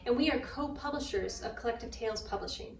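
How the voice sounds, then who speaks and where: A woman's voice: fairly high pitch, fast. A woman co-hosting and introducing an event. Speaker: co-host, publisher. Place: indoors in a bookshop. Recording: echoey, distant mic, faint noise.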